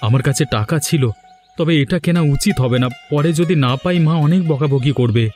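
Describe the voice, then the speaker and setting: Here is a cartoon village son played by a man, naive and full of hope. His male voice is a little high and his delivery is simple and earnest, with some music behind. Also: fairly quick, a little mellow, natural voice. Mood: naive, hopeful. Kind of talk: animated story dialogue acting.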